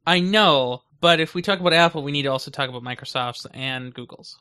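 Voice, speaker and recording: Male co-host, slightly high bright voice, dry and matter-of-fact in casual podcast talk. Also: a little fast, clear, resonant tone.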